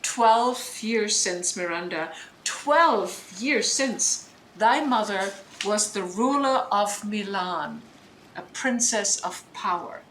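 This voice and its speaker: A woman's voice: mid-range voice, expressive, slow, fairly bright tone. A woman playing a bitter, scholarly deposed duchess and sorceress mother in Shakespearean audio drama, speaking in a declamatory, emphatic way that demands attention. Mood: solemn, reflective. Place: indoor room, recorded over internet link. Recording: roomy remote recording, noise.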